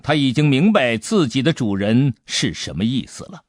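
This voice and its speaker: 一位男性有声小说演播者，用富有悬念和表现力的方式讲述故事。. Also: male voice, medium pitch, normal speed, no echo, studio quality. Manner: suspenseful, expressive delivery. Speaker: audiobook narrator. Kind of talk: dramatic scripted storytelling.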